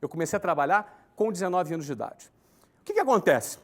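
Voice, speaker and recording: A man's voice: high-pitched, quick pace. A man in his forties giving a conversational talk, often checking in with tag questions and listing points in an emphatic rhythm. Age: 40s. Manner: emphatic, lists steps in a lively rhythm. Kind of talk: recorded talk to an online audience.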